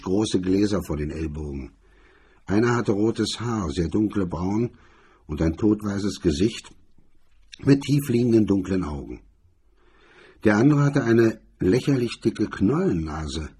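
Husky, dark-voiced male narrator. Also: on the low side. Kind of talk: scripted narration of a hard-boiled crime story.

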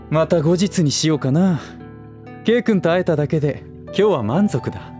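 An actor playing a scheming businessman in a Japanese voice drama, speaking politely and gently with teasing condescension. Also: a little high, lively intonation, slow, soft background music. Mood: sly, pleasant. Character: smooth, scheming adult male relative and businessman, teasing.

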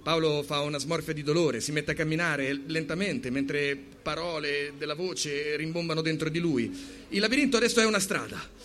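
A man's voice: a little high, normal speed, a bit bright, soft background music. A man narrating a story as a dramatic reading, with an urgent, theatrical delivery. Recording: clear background noise.

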